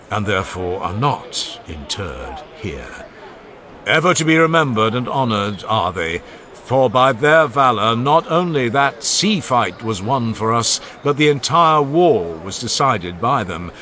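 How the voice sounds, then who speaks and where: A man reading an ancient funeral oration aloud as an audiobook narrator, with solemn, declamatory delivery and strong swings in emphasis. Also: lowish voice.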